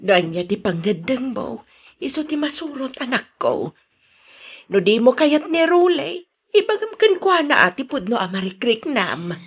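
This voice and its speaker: A woman with a Filipino accent playing a concerned, gentle mother in a radio drama, consoling and expressive. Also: high voice, unhurried, husky, full character voice. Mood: gentle, advising. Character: concerned, gentle mother advising her grown daughter. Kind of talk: Ilocano radio drama acting.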